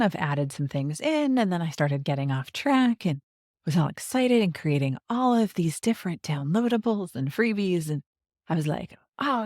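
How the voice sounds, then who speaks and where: A woman's voice: lowish voice, a little mellow, no room sound, studio quality. A woman hosting a podcast, chatting upbeat and conversational with her guest. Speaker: podcast host. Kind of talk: casual interview chat.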